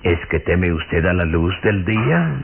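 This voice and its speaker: Stern aging father, blustering and gravelly, low pitched, old radio serial. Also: sing-song, a little slow, narrow, tinny audio, a little hiss or hum, exaggerated voice. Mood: musing, probing. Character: aging father, fussy, stern, indignant gentleman.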